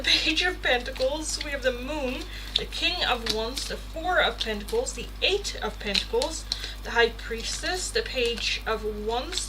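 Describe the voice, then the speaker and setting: A woman from the Netherlands, a native Dutch speaker, chatting casually and expressively as she hosts a live tarot stream. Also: on the high side. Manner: chatty, expressive, swears playfully. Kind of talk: casual live chat with viewers. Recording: clear background noise.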